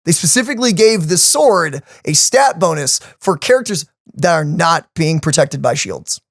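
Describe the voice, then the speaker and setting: A man co-hosting a game reaction video, casually explaining stats with lots of fillers in an animated way. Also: fairly high pitch, moderate pace, dry recording, clean studio sound. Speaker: co-host of a game reaction channel.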